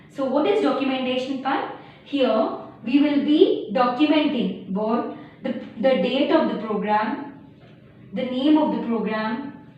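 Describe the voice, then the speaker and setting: A woman's voice: high-pitched, darkish tone. A young woman with a Telugu-influenced Indian English accent, teaching beginner programming with everyday analogies, many fillers and restarts. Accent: Indian English, Telugu-speaking. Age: young adult. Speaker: tutor. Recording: distant, very echoey room, dull lo-fi sound, faint hiss.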